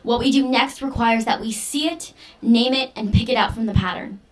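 A woman plays a young daughter in an audio drama, earnest and defiant. Her voice sits fairly high, and she shapes her words with deliberate, poetic intensity. Music plays beneath her. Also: lightly acted, rough recording. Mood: solemn, resolute. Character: earnest, defiant teenage daughter preparing for ritual role. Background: music under the voice.

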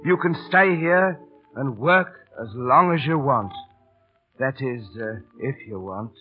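An actor playing a cheeky, big-hearted London Cockney street entertainer, kindly but bossy, coaxing with repetitive patter in old radio drama style. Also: male voice, medium pitch, lively intonation, slow pace, faint music behind. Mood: warm, encouraging. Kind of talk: 1930s-40s radio drama acting. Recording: scratchy, noisy, tinny old radio broadcast.

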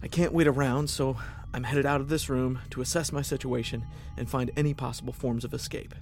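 Stranded starship officer, male, played by an actor: guarded, practical, dry delivery, medium pitch, sci-fi audio drama. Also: steady tone, brisk, darkish tone, soft background music, lightly acted. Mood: guarded, reporting. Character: wary, pragmatic male military officer stranded aboard a hostile spaceship. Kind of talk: science fiction audio drama acting.

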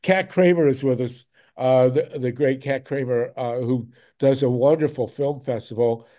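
A man's voice: mid-range voice, fairly even intonation, moderate pace, mellow. A man hosting a talk show over a video call, speaking emphatically and repeating words when something moves him. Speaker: host.

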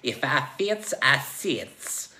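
A man's voice: mid-range voice, sing-song, drawn-out words, bright, forceful tone, pitched above the performer's own voice, full character voice. A man playing a brash, demanding, foul-mouthed prisoner turned tiger in a comic story reading. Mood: lustful, teasing. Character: brash, impatient male prisoner turned lustful giant tiger.

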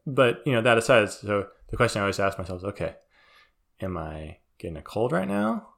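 A man with a very deep voice co-hosts a casual podcast. He reasons aloud in a thoughtful, measured way. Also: big pitch swings, gravelly, fairly bright tone. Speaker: podcast co-host. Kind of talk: casual chat.

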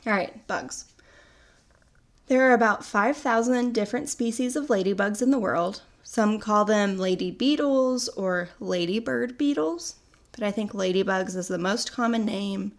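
A young woman from North America hosting a casual solo podcast, chatty and upbeat, sounding a little sickly from a cold. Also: mid-range voice. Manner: chatty and upbeat, explaining facts. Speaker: podcast host.

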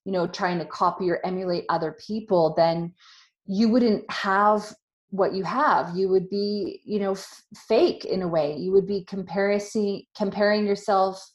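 A woman's voice: medium pitch, steady tone, a little slow, dull audio. A woman co-hosting a podcast, speaking earnestly and emphatically, with frequent fillers as she makes her point. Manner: earnest, emphatic, many "you know" fillers. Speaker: podcast co-host. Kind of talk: casual conversational chat.

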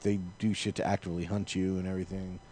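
A man's voice: low voice, level tone, quick pace, darkish tone, slight background noise. A man, perhaps in his thirties, chatting informally about video games as a co-host, with plenty of profanity.

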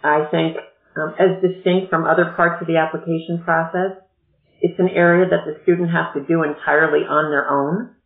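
A woman educational consultant explaining college admissions in a measured, explanatory way during a podcast interview. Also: deep voice, fairly even intonation. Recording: echoey, tinny phone-like line.

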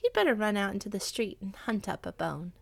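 A woman's voice: medium pitch, sing-song, brisk, gravelly, husky, no echo, heavily acted. A woman voicing a sly, boastful female house cat in a storybook, teasing and gloating.

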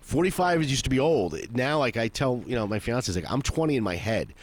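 Fast-talking profane man in his forties. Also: mid-range voice, animated. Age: 40s. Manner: rambling, profane, acts out quoted voices. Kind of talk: casual chat.

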